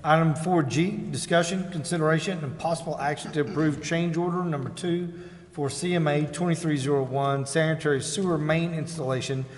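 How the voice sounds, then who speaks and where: American man, meeting chairman, formal procedural delivery, fairly high male pitch, long room reverb. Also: steady tone, a little fast, a little hiss or hum. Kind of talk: formal meeting procedure, reading agenda items. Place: indoor council chamber. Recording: echoing council chamber.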